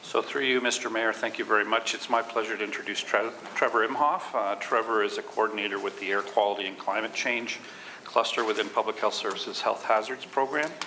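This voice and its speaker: A man's voice: lowish voice, fairly even intonation, fairly quick. A man speaking formally as a health official making an introduction at a meeting. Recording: clear background room noise.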